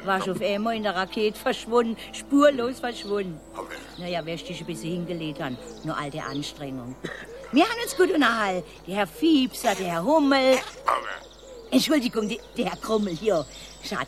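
A woman's voice: medium pitch, animated, normal speed, lightly acted. A woman, playing a talkative housewife in a radio play. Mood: excited. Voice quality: chatty, dialect-heavy. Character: chatty older housewife, homesick. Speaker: radio drama character.

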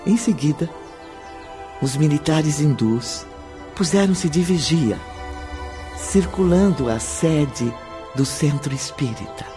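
A man with a Brazilian accent as the solemn storyteller of a radio drama, narrating in a formal, deliberate manner. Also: fairly high pitch, lively intonation. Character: solemn omniscient male storyteller. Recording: music clearly behind the voice.

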